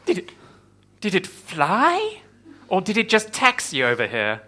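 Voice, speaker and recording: Naive, wide-eyed secretary type female improviser, forceful bright tone, very animated, deferential, for comedy radio play. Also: mid-range voice, a little slow, exaggerated voice. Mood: wide-eyed, puzzled. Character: naive, eager female assistant to an airport boss.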